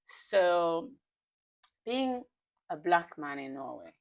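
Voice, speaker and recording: A woman's voice: lowish voice, big pitch swings, very slow, a little mellow. A woman hosting a casual, candid interview in an informal way, often echoing and affirming what she hears. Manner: informal, echoes and affirms the guest's words. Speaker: talk show host.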